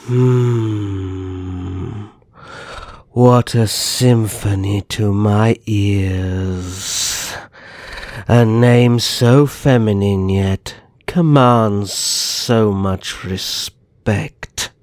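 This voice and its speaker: Man playing a slow, suave vampire naga kidnapper. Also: on the low side, darkish tone, dry recording, clean studio sound. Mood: mocking, silky. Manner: slow, courtly, condescending endearments. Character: suave older vampire naga brother, a coaxing, mocking kidnapper. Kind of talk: ASMR roleplay acting.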